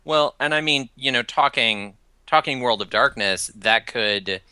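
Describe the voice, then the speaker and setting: Bright, smooth-voiced man, dry sound. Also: mid-range voice, a little slow, no echo. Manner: enthusiastic, fills with "you know" and "I mean". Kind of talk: casual podcast chat.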